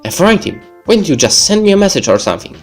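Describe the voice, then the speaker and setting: A cocky young male gamer, voiced by a man reading a visual novel, hot-headed and excitable, talking brashly with his pitch lifted a little. Music plays behind him. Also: very fast. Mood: skeptical. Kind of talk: amateur read-aloud of visual novel dialogue.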